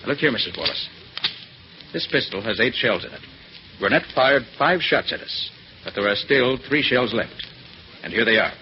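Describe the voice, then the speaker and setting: An actor playing a confident master detective in a 1940s radio drama, brisk, authoritative and theatrical. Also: male voice, medium pitch, lively intonation, natural voice. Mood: firm, accusing. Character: confident male master detective exposing a villain. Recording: muffled old 1940s radio recording, faint hiss.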